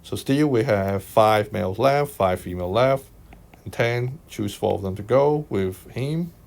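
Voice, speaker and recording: Slow, low-voiced man with a Hong Kong accent. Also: creaky, darkish tone. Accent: Hong Kong Cantonese. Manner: unhurried, thinking aloud, checks in with "right?" and "okay?". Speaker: maths tutor. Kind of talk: explaining a worked exam solution over screen recording. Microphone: voice-over on screen recording.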